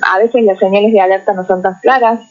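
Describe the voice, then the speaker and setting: A woman psychologist, speaking as a guest in a radio interview, explaining in a measured way and often checking in with "¿no?". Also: medium pitch, steady tone, a little mellow. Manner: measured, explanatory, tags phrases with "¿no?". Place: radio studio. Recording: muffled lo-fi radio recording.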